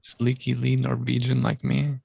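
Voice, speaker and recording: A man co-hosting a casual podcast, tossing out references and puns in a deadpan way. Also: mid-range voice, normal speed, vocal fry, a little hiss or hum. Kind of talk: casual talk, trading music and film references.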